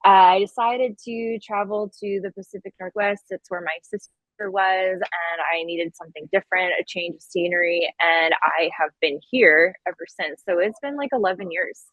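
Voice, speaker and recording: A woman's voice: on the low side, normal speed, a bit bright, no room sound, poor audio. A woman in her thirties from Connecticut chatting informally as a guest in a casual interview. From Connecticut, US East Coast. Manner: informal, conversational. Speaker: podcast guest, event planner.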